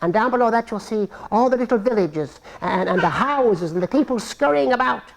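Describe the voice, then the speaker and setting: A man voicing a kindly magical guide in a live puppet show, with a lilting, drawn-out, sing-song delivery. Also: high-pitched, lively intonation, creaky, a little hiss or hum, voice pushed up, poor audio. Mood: gentle, encouraging. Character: kindly high-voiced magical guide sending Jack off.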